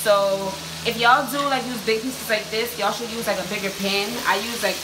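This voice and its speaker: A young woman filming a cooking video on her phone in her home kitchen, chatting to viewers in a relaxed, slangy way. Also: mid-range voice, normal speed. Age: young adult. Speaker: cooking vlogger. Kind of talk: casual cooking demo. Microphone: handheld phone camera. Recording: sizzling pan noise behind. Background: oil frying and sizzling.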